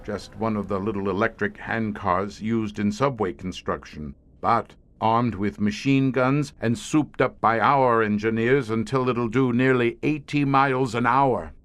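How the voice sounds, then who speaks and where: An actor playing a grim, weary older commander of a secret monster-hunting police squad in an audio horror drama, speaking in florid, deliberate phrases. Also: male voice, on the low side, steady tone, a bit bright. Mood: businesslike, grim. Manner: eloquent, florid, deliberate speech.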